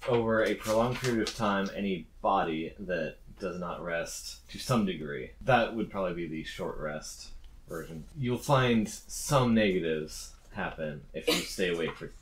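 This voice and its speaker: A woman's voice: extremely low pitch, expressive, a little slow. A woman acting as game master, casually narrating and answering players in a tabletop game. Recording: echoey room with faint noise, clicks.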